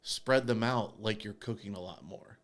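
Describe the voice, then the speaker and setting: A man's voice: lowish voice, normal speed, fairly bright tone. A man co-hosting a barbecue podcast, chatting casually and explaining step by step with frequent restarts. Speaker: podcast co-host. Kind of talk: casual chat.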